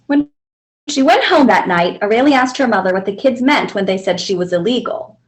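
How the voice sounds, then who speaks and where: A woman reads a picture book to children. Her voice is high and very varied in pitch, and she tells the story deliberately and expressively. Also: animated. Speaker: librarian reading aloud.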